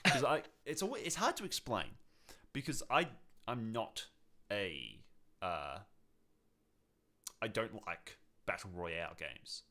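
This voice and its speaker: A man's voice: on the low side, lively intonation, takes it slowly, pauses often, sharp tone. A man co-hosting a podcast, chatting casually about video games in a rambling way that often doubles back on itself. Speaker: podcast co-host.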